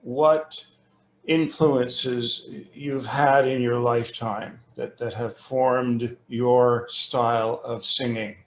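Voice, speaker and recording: Male interview host, unhurried, rambling and halting, roomy echoing call audio. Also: mid-range voice, fairly even intonation, a little hiss or hum. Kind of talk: conversational interview, introduction read from notes. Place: remote internet video call.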